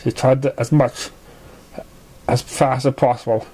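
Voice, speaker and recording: A man's voice: mid-range voice, flat delivery, a little slow, dark tone, husky, poor audio. A man reading a scripted story aloud as its narrator. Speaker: fan fiction reader.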